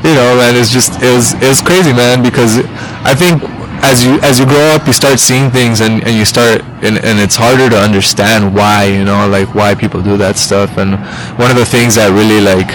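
A man's voice: medium pitch, steady tone, normal speed, hardly any pauses, background noise. A man in his thirties, a musician guest on a podcast, talking casually and rambling with lots of fillers and restarts. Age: 30s. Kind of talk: casual interview chat.

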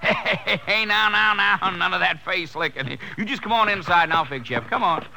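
Folksy, high-voiced Western cafe owner. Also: male voice, moderate pace, vocal fry. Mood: kindly, coaxing. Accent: American Western drawl. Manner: folksy, rustic, chuckling speech. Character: folksy, kindly middle-aged male roadside cafe owner. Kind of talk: 1950s radio drama acting. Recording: noisy old radio transcription.

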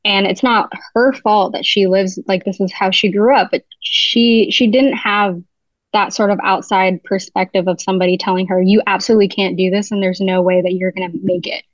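A woman's voice: medium pitch, fairly even intonation, moderate pace, no room sound, muffled recording. A young woman from New Jersey, a writer guesting on a podcast, talking chattily with lots of "like.". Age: young adult. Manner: chatty, heavy use of "like". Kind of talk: casual interview chat.

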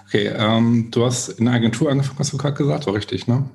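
A man's voice: medium pitch, fast talker, vocal fry, a little mellow. A man hosting a podcast in a conversational interview style, feeling his way through questions with repeated words. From Essen, Germany. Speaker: podcast host.

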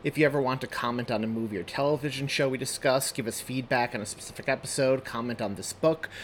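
Guy doing a podcast outro, super thankful, medium pitch, bit of background noise. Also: fairly bright tone. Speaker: podcast host and story author.

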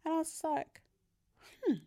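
A woman's voice: normal speed, no room sound. Candid young British woman, dry mic. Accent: British English. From Nigeria heritage, raised in Britain. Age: young adult. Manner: candid, animated storytelling. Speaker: podcast co-host. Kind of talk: casual podcast chat.

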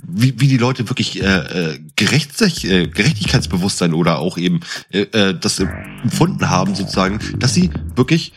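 Man, low voice, podcast co-host, casual explaining, colloquial animated storytelling, clear background music. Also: moderate pace. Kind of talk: casual chat, explaining and quizzing. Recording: music behind the voice.

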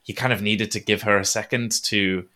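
A man's voice: lowish voice, fairly even intonation, normal speed, sharp tone. A man hosting a podcast, chatting casually and offering supportive, encouraging replies. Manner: supportive, encouraging, frequent backchannels. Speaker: podcast host. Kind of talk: casual interview chat.

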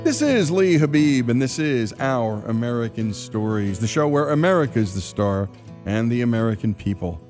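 A man's voice: mid-range voice, expressive, normal speed. A man hosting a radio show, reading a scripted introduction. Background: faint guitar music.

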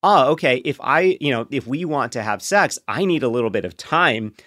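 A man speaking as a podcast guest, explaining things candidly in a reflective, measured, conversational way. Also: mid-range voice, lively intonation, a bit bright, no room sound, clean studio sound. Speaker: podcast guest, co-author and partner of a sex therapist.

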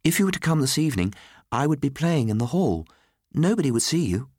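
A man's voice: fairly high pitch, very animated, fairly quick, darkish tone, dry recording, studio quality, voice pushed up. A man voicing an earnest, naive little boy who speaks with innocent plainness, in a storybook reading. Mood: innocently matter-of-fact. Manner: matter-of-fact, innocent.

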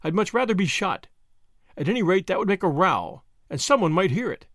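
A man voicing a plucky, quick-witted young woman held captive in an audiobook, spirited and urgent. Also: sits high, very animated, a bit bright, no echo, studio quality. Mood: brave, defiant. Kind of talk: audiobook character acting.

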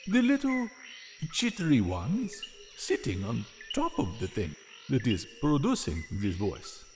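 A man voicing a timid, hesitant bat who speaks quietly and haltingly in a storybook tale. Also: medium pitch, wildly swooping pitch, faint music behind. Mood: hesitant, uneasy. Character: timid male bat, hesitant, hiding from daylight.

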